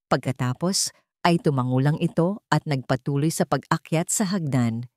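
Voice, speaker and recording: A woman's voice: deep voice, moderate pace, a little mellow, no echo, clean studio sound. A woman with a Filipino accent reading dramatic scripted narration as a storyteller.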